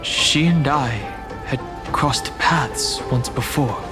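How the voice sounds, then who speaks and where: A man playing a solemn, resolute young wanderer in a video game, measured, earnest and thoughtful. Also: mid-range voice, natural voice. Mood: grave, thoughtful. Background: music.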